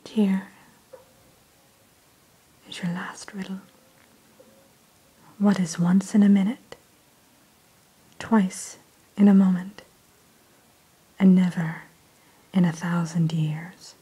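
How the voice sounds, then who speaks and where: A woman in an ASMR roleplay who whispers gently and courteously as the keeper of a dragon hatchery. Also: fairly low pitch, flat delivery, slow pace, long pauses, slightly rough, rough recording.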